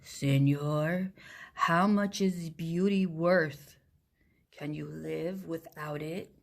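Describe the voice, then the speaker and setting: Lady putting on a deep lazy storybook sloth, female, super slow and drawn out. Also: steady tone, creaky, heavily acted. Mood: languid. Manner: drawn-out, plodding delivery.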